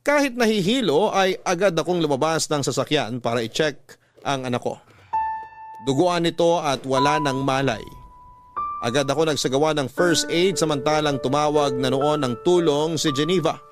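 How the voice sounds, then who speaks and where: A man narrates a dramatic personal story as in radio storytelling. His voice sits slightly high and his delivery is sentimental, with faint music playing behind him. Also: normal speed. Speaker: letter narrator in a radio-style love story podcast.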